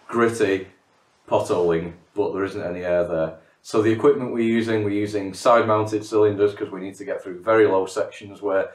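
A middle-aged man, a cave diver, talking in an interview about diving and often repeating words as he starts a phrase. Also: on the low side. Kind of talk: documentary interview. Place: living room. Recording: roomy, from a distance.

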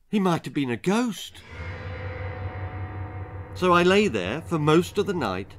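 A man's voice: on the high side, fairly bright tone. A man in his sixties reading a children's ghost story aloud with theatrical suspense. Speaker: author reading own stories. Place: small book-lined room indoors.

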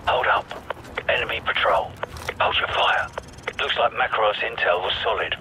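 Man acting a low-voiced British commando leader. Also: moderate pace, noisy background, natural voice. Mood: focused. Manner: terse, commanding, controlled. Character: tough, watchful British special forces commander. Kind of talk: tactical game dialogue.

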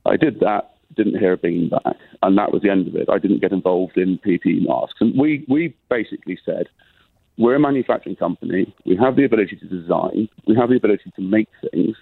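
A low, gravelly British man on a tinny, dry phone line, talking defensively and insistently. He talks over interruptions and keeps pushing to finish his point, husky and a little rough around the edges. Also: fairly quick, dry recording. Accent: British English. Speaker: caller. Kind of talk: phone-in call defending himself.

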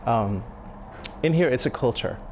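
A man in his thirties, a restaurant chef, speaking in a sit-down interview, thoughtful and halting with many fillers and restarts. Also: medium pitch, expressive, darkish tone, a little hiss or hum. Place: dim restaurant dining room. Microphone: lapel mic.

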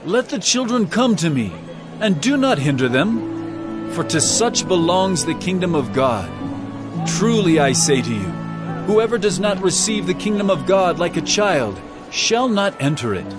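Earnest prophet-teacher, declamatory, swooping intonation, audio Bible drama, strong musical backing. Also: male voice, high-pitched, sing-song, measured pace. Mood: firm. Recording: loud background music.

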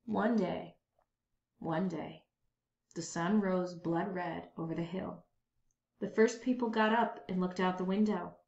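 A woman reading a children's story aloud with expressive, dramatic, emphatic phrasing. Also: fairly low pitch, unhurried, creaky, pauses often. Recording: dull lo-fi, roomy echo.

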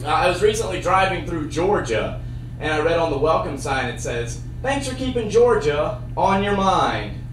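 A young man doing a stand-up comedy set with a handheld mic on a theater stage, trading dry one-liners for sudden loud bursts. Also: fairly high pitch, animated, normal speed, background noise. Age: young adult. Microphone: handheld mic on a cord. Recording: roomy sound from a far camera.

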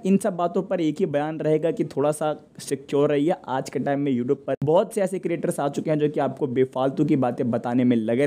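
A man's voice: a little high, mellow. A man hosting a news update, narrating informally and speaking directly to viewers in a conversational way. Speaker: host of a TV channel news update show.

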